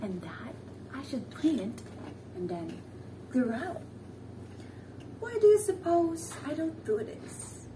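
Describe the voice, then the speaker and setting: A woman's voice: high voice, sing-song, takes it slowly, long pauses, dark tone, distant mic, slight background noise, full character voice. A young woman playing a shrewd, restless, debt-ridden woman scholar in a stage play, theatrical and musing. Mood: scheming, musing. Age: young adult. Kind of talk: amateur stage play acting. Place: indoor living-room stage set. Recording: echoey stage from afar, music behind.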